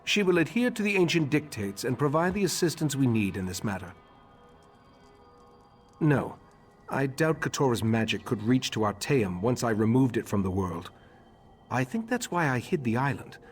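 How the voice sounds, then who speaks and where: An actor playing a grave, remorseful elven mage leader in a fantasy game, speaking formally with measured, slightly husky delivery. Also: male voice, medium pitch, big pitch swings, soft background music, lightly acted. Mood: pensive, wary. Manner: measured, formal, solemn exposition. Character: grave elderly male high elf mage leader, remorseful and scholarly.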